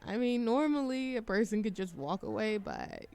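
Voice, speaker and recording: A woman's voice: mid-range voice, very animated, normal speed, creaky, darkish tone, clear, resonant tone. A woman playing a shy, socially anxious young woman in a café audio roleplay, chatting in a hesitant, self-deprecating ramble. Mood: flustered, hopeful. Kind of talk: roleplay ASMR audio drama, conversational monologue.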